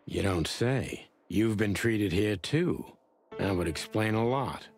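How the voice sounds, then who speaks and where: An actor voicing a world-weary, brooding noir detective in a video game, with a dry, sardonic manner. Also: male voice, fairly low pitch, animated, slow pace. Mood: dry, wry. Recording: music behind the voice.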